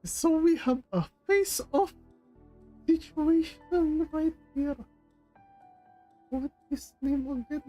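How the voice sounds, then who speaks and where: High-pitched, slow-talking woman streamer. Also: dark tone. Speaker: game livestreamer. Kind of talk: casual livestream commentary. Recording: game music behind the voice.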